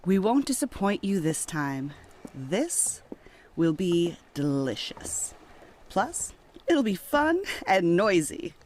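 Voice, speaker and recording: A woman's voice: on the low side, sing-song, slow pace, darkish tone, slight background noise. A woman playing a gracious, teasing former First Lady hosting guests in a game cutscene. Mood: playful.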